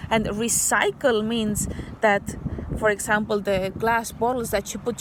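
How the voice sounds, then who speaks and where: A woman's voice: mid-range voice, noisy background. A woman teacher reading a picture book aloud to young children, patiently explaining things in simple words.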